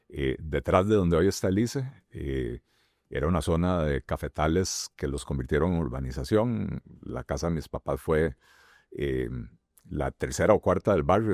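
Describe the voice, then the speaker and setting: A middle-aged man with a Costa Rican accent, answering interview questions as a candidate in a halting way with many fillers and restarts. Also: deep voice, darkish tone, no room sound, clean studio sound. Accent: Costa Rican Spanish. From Costa Rica. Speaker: presidential candidate.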